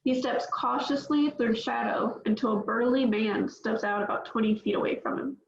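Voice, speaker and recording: A woman's voice: fairly high pitch, moderate pace, creaky, reverberant, highs cut off. A woman reading the stage directions at a script table read in a plain, matter-of-fact way.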